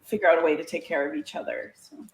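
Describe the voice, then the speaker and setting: A woman's voice: low-pitched, fast talker, a little hiss or hum. Chatty Canadian woman in an echoey room. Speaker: guest, CB radio community organiser. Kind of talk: casual chat.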